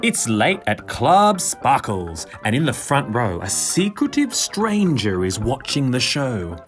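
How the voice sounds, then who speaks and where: A man reading a children's picture book in verse, with expressive, dramatic, rhythmic narration. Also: medium pitch, takes it slowly, music in the background.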